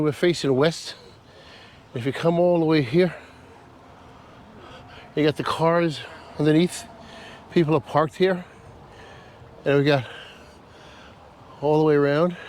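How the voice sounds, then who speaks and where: A man's voice: fairly high pitch, darkish tone, a little hiss or hum. A man with a nasal voice from a cold, chatting casually and laughing at himself while walking through an outdoor park for his travel vlog. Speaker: travel vlogger. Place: outdoor park by a causeway bridge.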